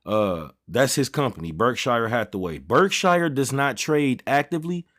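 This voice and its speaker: A man's voice: mid-range voice, expressive, moderate pace, a bit bright. Man in his thirties, livestream host, casual slangy chat, rambling asides. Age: 30s. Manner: loose slangy banter, easily sidetracked. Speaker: trading stream host.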